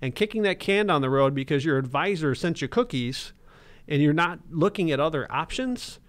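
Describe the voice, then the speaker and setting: A man's voice: a little high, lively intonation, moderate pace. A man in his thirties, a financial advisor hosting a radio talk show from a small studio, talking emphatically with jokes. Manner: emphatic and joking. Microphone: studio boom mic with headphones.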